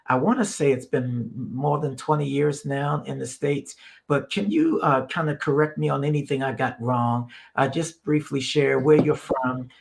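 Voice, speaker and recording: A man's voice: mid-range voice, vocal fry. A man hosting a religious talk show in a conversational way, feeling his way through meandering sentences. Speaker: host of a ministry live stream.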